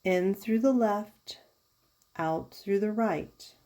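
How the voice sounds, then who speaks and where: A woman leading a guided yoga nidra meditation as a teacher, giving soothing, unhurried instructions with pauses. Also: medium pitch, warm tone. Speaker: yoga therapist guiding a meditation.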